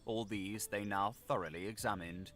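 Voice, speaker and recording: A man narrating a gothic audiobook in a measured, literary, dramatic delivery. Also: lowish voice, fairly even intonation, a bit bright, a little hiss or hum.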